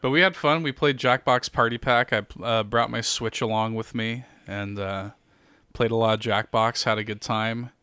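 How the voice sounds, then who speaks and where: A man's voice: mid-range voice, fairly even intonation, normal speed, pushed and bright, smooth, dry recording. A young man hosting a podcast, upbeat and jokey, laughing often while he chats. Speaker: podcast host. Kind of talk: casual chat.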